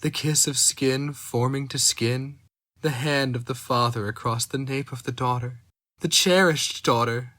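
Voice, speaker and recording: A man giving a slow, intense dramatic reading of a dark fantasy story. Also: mid-range voice. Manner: slow, brooding, intense delivery. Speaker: narrator.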